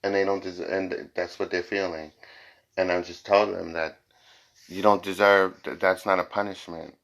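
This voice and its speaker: Deep voiced dude w some fry, reading tarot cards casually, blunt, repeats himself to drive it home. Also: male voice, little pitch movement, normal speed, fairly bright tone. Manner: blunt, emphatic, swearing, repeats phrases. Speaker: tarot and psychic reader hosting a reading. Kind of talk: informal, improvised tarot card reading to viewers.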